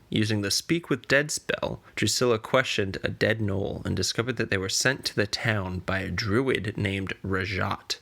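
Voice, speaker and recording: A man with a fairly low voice and a noticeably gravelly edge narrates a scripted fantasy recap. His delivery is crisp and forward, like a storyteller reading from a prepared script. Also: a bit bright. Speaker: podcast narrator.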